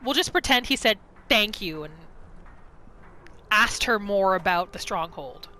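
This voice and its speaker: A woman's voice: mid-range voice, animated, measured pace, pushed and bright, a little hiss or hum. A woman doing roleplay gameplay commentary, narrating her character's thoughts and reacting to the game. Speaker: gaming video creator, roleplayer. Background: faint music.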